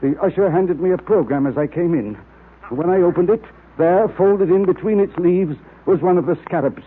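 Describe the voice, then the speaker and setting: A man's voice: sits high, moderate pace, slightly rough. An actor playing a nervous, evasive man with a secret, hesitant and defensive, in old-time radio drama style. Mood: evasive, uneasy. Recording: tinny, hissy old-time radio recording.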